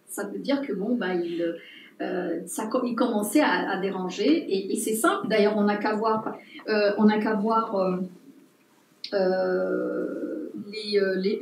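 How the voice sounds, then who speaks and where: A woman anthropologist speaking at a public talk, pausing often with fillers and restarts. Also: mid-range voice, slight background noise. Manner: hesitant, many fillers and restarts. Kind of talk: unscripted talk to an audience. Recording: roomy distant mic with faint ambience.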